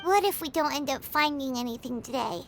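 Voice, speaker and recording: Squeaky, very high little fairy girl. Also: fairly quick, slight background noise. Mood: worried, doubtful. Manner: speaks of self in third person, squeaky, expressive. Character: tiny excitable childlike fairy girl companion. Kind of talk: video game voice acting.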